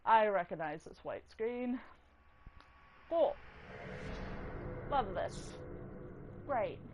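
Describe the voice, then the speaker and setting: A woman's voice: mid-range voice, expressive, very slow, background noise. A woman rambling casually while playing a video game, swearing freely and breaking off with startled outbursts. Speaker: gaming video creator. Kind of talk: casual rambling commentary over gameplay. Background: game music.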